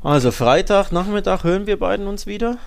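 A man's voice: high voice, expressive, fairly quick, gravelly, slight background noise. Man chatting casually as podcast co-host. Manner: colloquial, opinionated fan talk. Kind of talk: casual two-person sports podcast chat.

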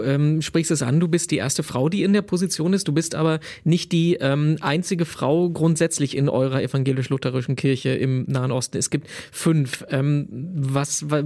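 A man hosting an interview podcast. His voice sits a little higher than usual for a man. He asks his questions conversationally, with a few filler sounds along the way. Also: dark tone.

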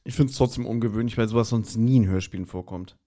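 A man's voice: on the low side, fast talker, warm tone. Rambling, self-deprecating male podcast host. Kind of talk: casual, jokey podcast chat.

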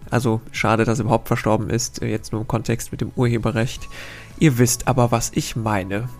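A man's voice: medium pitch, flat delivery, brisk, darkish tone, soft background music. A man hosting a casual quiz podcast, reading out riddles and wandering into chatty, self-correcting asides.

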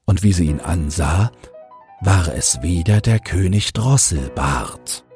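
Ein Mann liest als Hörbucherzähler ausdrucksvoll einen geschriebenen Märchentext vor. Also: male voice, sits low, animated, takes it slowly. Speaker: audiobook narrator and podcast host. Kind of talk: scripted fairy-tale narration. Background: soft music.

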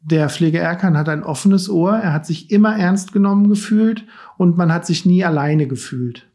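A man's voice: sits high, normal speed, mellow. A man hosting a podcast, asking long, chatty questions in a casual tone. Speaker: podcast host. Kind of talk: casual interview chat.

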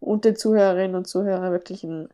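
A woman's voice: fairly low pitch, fairly even intonation, very fast, warm tone. A woman guest in a casual podcast interview, sounding congested from a cold, who rambles and keeps correcting herself. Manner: rambling, frequent self-corrections. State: congested, stuffy nose from a cold. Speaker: athlete guest.

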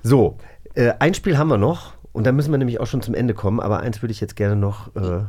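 A man's voice: medium pitch, fast talker, dark tone. A man co-hosting a podcast in casual chat, blunt and slangy in the way he talks. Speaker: podcast co-host.

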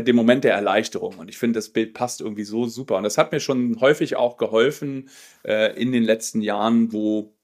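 A man in his forties with a loud, commanding voice, telling his own story as an interview guest in a reflective, halting way. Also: lowish voice. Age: 40s. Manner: reflective, halting with frequent pauses and repeated words. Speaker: podcast guest, personal development coach.

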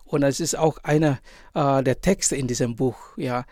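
A man in his forties from Indonesia, a priest and author, answering interview questions in German with an Indonesian accent, pausing often and searching for words. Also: medium pitch, darkish tone, no echo, studio quality. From Indonesia, island of Sumba. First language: Indonesian language. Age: 40s. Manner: halting, many pauses and fillers, searching for words.

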